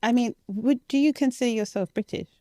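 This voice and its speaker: A woman's voice: a little high, brisk, mellow, no echo, studio quality. A woman interviewer speaking conversationally, putting informal, loosely phrased questions to her guest.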